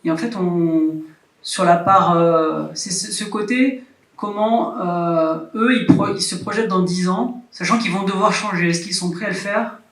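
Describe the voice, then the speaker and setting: High-voiced man, distant in a hall. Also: unhurried, a bit bright. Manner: questioning, hesitant. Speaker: livestock farming advisor. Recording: roomy hall, distant mic.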